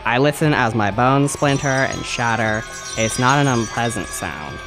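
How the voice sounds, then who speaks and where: A man's voice: medium pitch, animated, moderate pace, music in the background, poor audio. A man speaking as a podcast book club panelist and reader. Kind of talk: casual chat and dramatic book reading.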